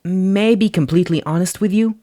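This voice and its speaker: A man voicing a kind, frank teenage girl with an earnest, deliberate way of talking in an audio story. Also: high voice, dark tone, voice pushed up, natural voice. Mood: sincere, serious.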